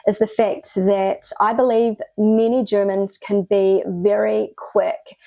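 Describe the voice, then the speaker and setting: A woman's voice: medium pitch, level tone, takes it slowly, creaky, warm tone, dry recording. A woman from New Zealand with a New Zealand accent, talking earnestly to camera as a vlogger, with reflective "you know" asides. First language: English. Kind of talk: casual talk to camera.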